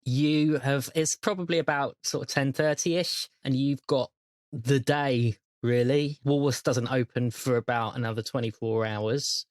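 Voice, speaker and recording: A man's voice: mid-range voice, steady tone, measured pace, no echo, clean studio sound. A man acting as game master, describing scenes in a hesitant, improvised way for a tabletop role-playing game.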